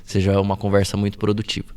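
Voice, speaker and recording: A man in his forties from São Paulo state, a guest talking through his career in a relaxed podcast interview, with frequent tag questions. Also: fairly low pitch, quick pace, crowd noise. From São Paulo state, Brazil. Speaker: guest, forensic biomedical expert.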